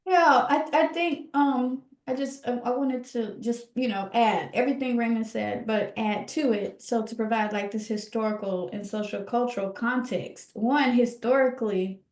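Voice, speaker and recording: A woman with a higher-than-average voice speaks in a roomy, echoing space. She talks like a scholar co-hosting a podcast, reflective and conversational. Her delivery is emphatic and halting, with words repeated to drive points home. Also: a little mellow.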